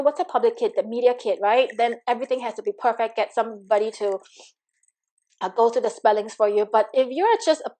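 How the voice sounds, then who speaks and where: A woman with a Singaporean accent runs a live coaching webinar in a somewhat high voice. She talks in a chatty, encouraging way, full of fillers, and greets viewers by reading their comments aloud. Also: darkish tone, rough recording. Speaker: money coach.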